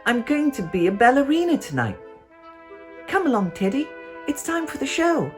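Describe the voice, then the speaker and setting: Excitable little girl, very high-pitched. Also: moderate pace, faint music behind. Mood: boastful, excited. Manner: boastful, exclaiming, theatrical. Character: defiant, excitable, imaginative little girl refusing bedtime. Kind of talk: children's storybook character voice.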